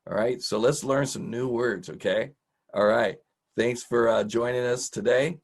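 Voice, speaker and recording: Husky man teaching kids casually. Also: medium pitch, steady tone, measured pace. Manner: folksy, informal, encouraging. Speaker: host, teacher. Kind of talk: casual children's vocabulary lesson.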